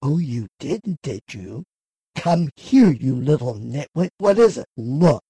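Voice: medium pitch, very animated, slow, mellow, breathy edge, dry recording, heavily acted. A performer voicing a wicked, imperious, irritable sorceress, scornful and commanding, in a fantasy audio drama. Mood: irritated, commanding.

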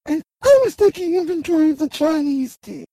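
A woman's voice: high voice, animated, a little fast, warm tone, dry recording. A woman playing a dutiful handmaid to a magical queen, polite and prim, in a fantasy audio drama.

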